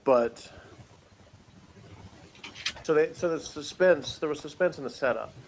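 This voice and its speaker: A man's voice: a little high, a little slow. A man co-hosting a TV review in casual, unscripted talk, rambling and a little hesitant. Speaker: co-host of a TV review show. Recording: faint background noise.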